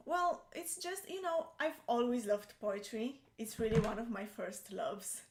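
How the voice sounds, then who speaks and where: A woman's voice: a little high, measured pace, slight background noise. Young adult woman, Romanian native speaking English, casual co-host, hesitant, echoey room. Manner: hesitant, many fillers and restarts. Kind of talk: casual chat.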